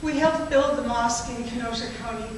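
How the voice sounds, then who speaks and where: A woman voicing a resilient refugee mother, telling her story in a measured way with pauses. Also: fairly high pitch, lightly acted. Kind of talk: spoken-word poetry performance. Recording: echoey hall from far off, noisy.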